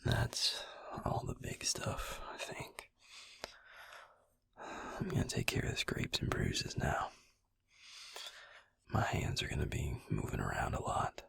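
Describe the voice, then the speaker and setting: A man playing a gentle, wry vampire healer in an intimate ASMR roleplay, speaking slowly and soothingly in a breathy, husky near-whisper. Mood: soft, focused. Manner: slow, soothing, folksy endearments.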